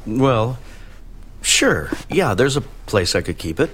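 A man's voice: medium pitch, big pitch swings, a bit bright, a little hiss or hum. An actor playing a friendly, awkward single man from a small town in an audio drama, speaking hesitantly. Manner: hesitant, halting, often flustered. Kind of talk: naturalistic audio drama acting.

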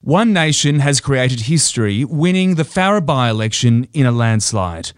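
A man delivering a radio news report in a crisp, formal manner. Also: mid-range voice, sing-song, a little slow, no echo, clean studio sound.

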